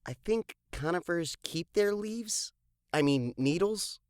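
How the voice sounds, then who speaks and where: A woman voicing a curious, practical young explorer in an expressive children's storybook read-aloud. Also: fairly low pitch, slow pace, dry recording, clean studio sound, voice pushed down. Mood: curious, earnest. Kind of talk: dramatized children's storybook dialogue.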